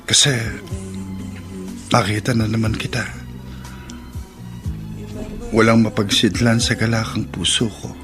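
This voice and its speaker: A man's voice: on the low side, level tone, measured pace, pauses often, music in the background, close to an everyday voice. A man playing an earnest, lovesick young man. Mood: tender.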